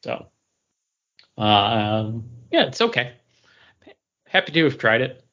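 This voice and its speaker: A man's voice: mid-range voice, sing-song, slow, sharp tone. A male podcast co-host chats in an unscripted, rambling way. He feels his way through sentences with some hesitation. The recording is somewhat dull and lo-fi. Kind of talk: casual chat, drink review.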